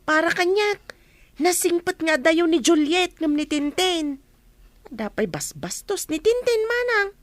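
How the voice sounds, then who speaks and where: Radio drama villager: indignant, chatty, high voice, very close dry sound. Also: female voice, unhurried, dry recording, heavily acted. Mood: gossipy, opinionated. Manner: animated, exclaiming, questioning. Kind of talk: Ilocano radio drama acting.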